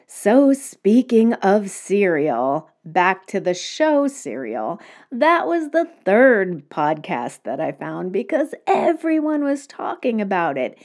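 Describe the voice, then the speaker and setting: Slow, gentle woman podcast host. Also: mid-range voice, sing-song. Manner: gentle, conversational and unhurried. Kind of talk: solo podcast talk. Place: cottage in the woods.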